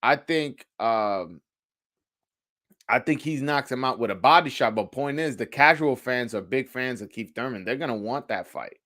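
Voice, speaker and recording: A man's voice: medium pitch, lively intonation, normal speed, pushed and bright. A man hosting a live sports call-in show, chatting casually in slangy, sweary language and often repeating phrases as he thinks aloud. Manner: informal, slangy, frequent swearing. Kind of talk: casual sports chat answering live commenters.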